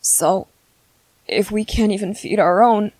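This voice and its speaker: A woman voicing a gentle, apologetic young male soldier in an ASMR roleplay, soft-spoken and earnest, with halting pauses. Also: medium pitch, lightly acted, poor audio. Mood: kind, reassuring. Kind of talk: ASMR roleplay audio drama.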